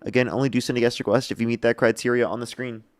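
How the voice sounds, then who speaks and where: A young man hosting a live debate stream, briskly taking callers and repeating his stock lines to viewers. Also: medium pitch, steady tone. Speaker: livestream debate host. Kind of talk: live-stream call-in chat.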